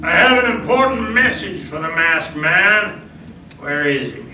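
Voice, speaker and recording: A man's voice: high voice, lively intonation, a little slow, crowd noise. A man playing a terse, sinister stranger in an old-time radio western. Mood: grim, insistent. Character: sinister stranger, terse male outlaw on horseback. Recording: distant echoey live stage recording, noisy.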